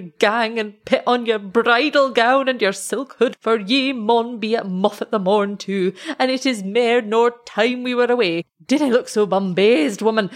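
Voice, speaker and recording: A woman's voice: a little high, close to an everyday voice. Lady reading as an old scottish shepherd fella, broad dialect, all worked up and tender, storybook style. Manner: exclamatory, emotional, speaking in broad dialect.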